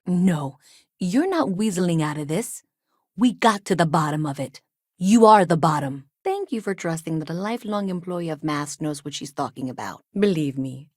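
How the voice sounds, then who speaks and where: Actress doing chatty nervous engineer woman from a space game, lower-ish voice, kinda wry n hesitant, super dry recording. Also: a little mellow, dry recording, close to an everyday voice. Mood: indignant, firm, then wry, frustrated. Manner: conversational, hesitant, wry asides. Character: anxious, earnest, chatty woman engineer in sci-fi colony. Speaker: video game character. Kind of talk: naturalistic video game dialogue acting.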